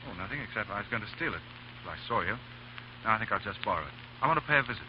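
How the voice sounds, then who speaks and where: Man playing a mild young gentleman, noisy old radio. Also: on the low side, expressive, racing, lightly acted. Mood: polite, matter-of-fact. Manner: hesitant, polite, mild. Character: sheltered, mild, naive young rich grandson, politely bumbling. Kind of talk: 1930s radio comedy acting. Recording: noisy, muffled vintage radio recording.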